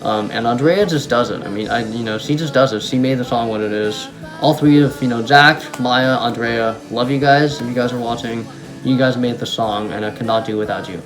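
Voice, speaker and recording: A man's voice: medium pitch, a little fast, rough recording. Young man musician, music playing behind. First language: German. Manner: rambling, many ums and restarts, enthusiastic. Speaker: musician talking about his song. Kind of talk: casual talk to camera.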